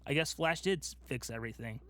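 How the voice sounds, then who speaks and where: A man podcast co-host chatting casually about movies, telling things in an animated way and acting out his own reactions. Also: medium pitch, fast talker, no room sound.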